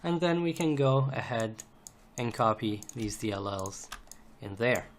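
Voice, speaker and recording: Male tutorial instructor, slow and methodical, unscripted screencast delivery, faint background noise with keyboard clicks. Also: mid-range voice, lively intonation. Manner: methodical step-by-step explaining while typing. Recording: noisy, keyboard clicks and typing. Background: computer keyboard typing and clicks.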